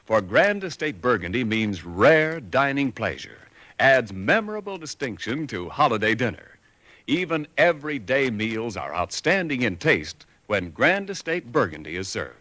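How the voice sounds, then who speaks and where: A man announcing on a 1940s radio show, with a lively, bright sound. He reads the sponsor pitch in a polished, smooth way. The sound is a dry old radio broadcast under faint music. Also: normal speed, no room sound.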